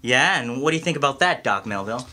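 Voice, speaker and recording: A folksy country constable, played by a man, excitable and plain-spoken, in a radio mystery. The voice is bright and forceful and swings widely up and down. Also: medium pitch, fast talker. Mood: probing. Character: folksy male small-town constable and ambulance driver, excitable. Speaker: amateur voice actor in an audio mystery. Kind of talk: scripted radio mystery acting.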